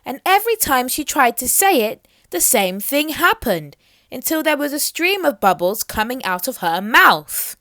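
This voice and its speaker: Animated, high-voiced woman storyteller. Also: normal speed, no room sound, clean studio sound. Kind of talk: expressive children's picture book read-aloud.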